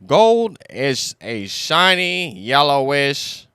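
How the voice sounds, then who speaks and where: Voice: medium pitch, big pitch swings, very slow, sharp tone, clean tone, no room sound, clean studio sound, heavily acted. A narrator voicing a pompous, learned male owl who explains things, in a children's storybook. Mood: annoyed.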